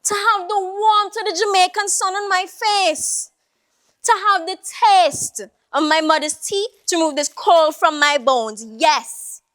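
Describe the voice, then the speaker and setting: Stage monologue casting: actress to play a weary, proud old Jamaican Creole woman, strikingly high voice, drawn-out lamenting delivery. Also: fairly bright tone. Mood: wistful longing. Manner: slow, lamenting, rhetorical, with sighing exclamations. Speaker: monologue performer. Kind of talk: theatrical dramatic monologue.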